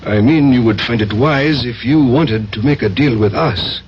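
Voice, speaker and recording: An actor playing a cold, suave Soviet intelligence major in a radio drama, formal and menacing, on a dull, noisy old broadcast. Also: male voice, mid-range voice, normal speed, close to an everyday voice. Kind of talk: 1970s radio drama acting.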